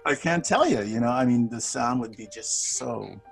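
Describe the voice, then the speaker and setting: A gravelly-voiced man in his sixties talks loosely over a video call, circling back on his words and chuckling. His pitch sits in an ordinary middle range, and faint music plays behind him. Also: lively intonation, moderate pace. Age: 60s. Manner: rambling, many restarts, chuckles often. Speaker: studio manager and bass player. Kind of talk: casual conversation on a video call.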